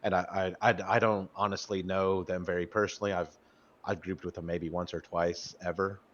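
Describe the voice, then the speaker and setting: A man's voice: low-pitched, flat delivery, moderate pace, a bit bright. A man in his thirties chatting casually about an old online game, rambling with stammered restarts and frequent swearing. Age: 30s. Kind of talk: casual gaming chat. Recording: muffled lo-fi audio.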